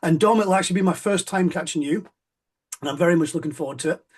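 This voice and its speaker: A man's voice: on the high side, fast, slightly rough. A man hosting a casual interview, friendly and rambling, with plenty of fillers. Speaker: podcast host.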